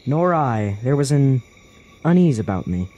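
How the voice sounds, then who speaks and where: An actor playing a thoughtful, questioning adventurer in a fantasy radio drama, earnest and persuasive, with formal phrasing. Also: male voice, medium pitch, big pitch swings, a little slow, warm tone, lo-fi sound. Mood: calm.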